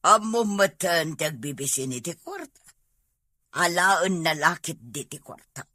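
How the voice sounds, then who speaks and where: A woman's voice: deep voice, sing-song, measured pace, bright, forceful tone, dry recording, studio quality, exaggerated voice. A woman playing a resolute Filipino mother in a family drama. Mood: determined. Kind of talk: comedy-drama acting.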